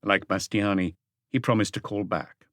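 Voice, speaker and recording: A man's voice: fairly low pitch, normal speed, a bit bright, no room sound, clean studio sound. A man reading a detective novel aloud as an audiobook narrator.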